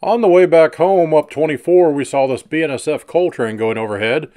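A man narrating his rail trip video in a relaxed, matter-of-fact way. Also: on the high side, fairly quick. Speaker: railfan vlogger.

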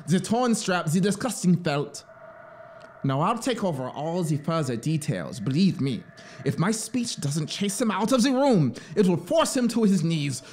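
A man's voice: sits high, voice pushed up. A man voicing a fanatical, scheming officer in a dramatic story reading, pleading and grandiose, with a theatrical, urgent manner. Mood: fervent, exalted. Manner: theatrical, urgent, swinging between hushed asides and exclamations.

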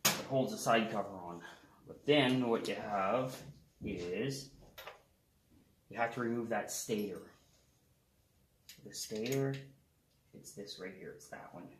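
A man's voice: fairly low pitch, slow pace, pauses often, slight background noise. A middle-aged man casually explaining a repair step by step while he works on a snowmobile engine in a shed. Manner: relaxed, talks while working on an engine. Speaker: garage mechanic host. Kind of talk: casual how-to repair demonstration. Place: open wood shed workshop. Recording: echoey with loud tool clatter.

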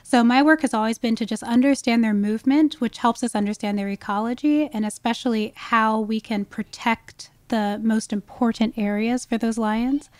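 A woman's voice: a little high, steady tone, moderate pace, hardly any pauses, no room sound. A woman speaking as a guest expert in a conversational interview, explaining with enthusiasm. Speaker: guest expert, wildlife ecologist.

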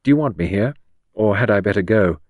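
A man's voice: on the low side, lively intonation, a little fast, a little mellow, dry recording, clean studio sound. A man voicing a tired, grieving young woman with a bitter edge, in an audiobook reading. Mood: subdued. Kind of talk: audiobook character voice.

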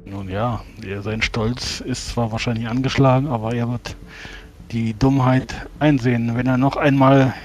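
A man playing a tabletop role-playing game in character, speaking in measured, formal words with hesitant pauses. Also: medium pitch, fairly even intonation, a little mellow.

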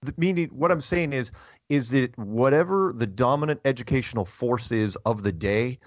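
A man's voice: mid-range voice, moderate pace, dry recording, muffled recording. A man hosting a casual radio talk show, explaining things emphatically in a conversational way.

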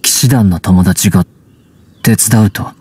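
Low, halting wild boy. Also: darkish tone, slightly rough. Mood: calm, plain. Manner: halting, terse, simple broken phrases. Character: gruff, guarded teenage wild boy raised by wolves. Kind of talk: Japanese anime video game voice acting.

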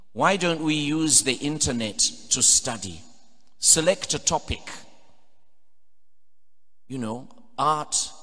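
A middle-aged Ugandan-accented priest preaches into a pulpit microphone, his voice sitting at an ordinary male pitch. He drives his points home with emphatic, rising calls to the congregation. Long cathedral reverb trails every phrase. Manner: emphatic preaching, building to loud exhortations. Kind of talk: homily mixing English and Luganda. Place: large cathedral, indoors at a pulpit. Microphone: pulpit microphone on a stand.

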